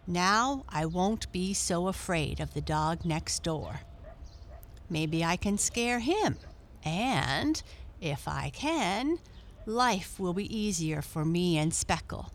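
Plucky, gentle storybook cat, played by a woman, earnest self-talk with animated pitch. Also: slow, a little hiss or hum. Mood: hopeful, self-assured. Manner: talks to herself in simple, earnest sentences. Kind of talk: children's storybook character reading.

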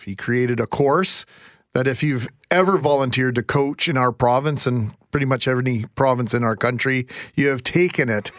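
A man with a medium-pitched voice co-hosts on radio. He speaks in long, slightly formal conversational sentences, captured dry and close. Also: a bit bright, no echo. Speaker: radio co-host.

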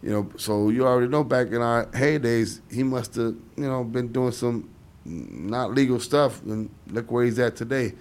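A man's voice: mid-range voice, moderate pace, a little mellow. A man in his forties from Chicago, a guest in a casual interview, telling stories in a loose rambling way full of "you know". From Chicago, USA. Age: 40s. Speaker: interview guest, former boxer.

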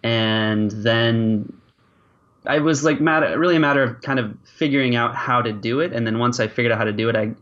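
A man's voice: medium pitch, normal speed, a bit bright. Male linguist chatting, slightly muffled audio. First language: French and English. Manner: hesitant starts, peppered with "you know" and "like". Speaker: linguist and language creator being interviewed. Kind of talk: conversational interview answers.

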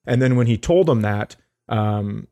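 A man's voice: mid-range voice, lively intonation, measured pace, dark tone. A middle-aged man, a comedy podcast co-host, telling stories casually in a rambling, jokey way. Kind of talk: casual comic storytelling.

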